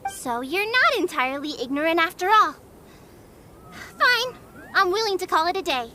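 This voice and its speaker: A woman's voice: extremely high pitch, sing-song, moderate pace, bright, forceful tone, slight background noise, heavily acted. A woman voicing a small, proud and fierce fairy guardian with haughty, grandiose delivery in a fantasy game fan dub. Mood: haughty, indignant. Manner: haughty, grandiose, old-fashioned declaiming.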